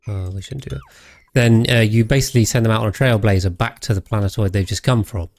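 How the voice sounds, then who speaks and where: Calm, low-pitched British man. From Britain. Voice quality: calm, measured voice. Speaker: live streamer, host. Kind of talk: casual game commentary while chatting with viewers.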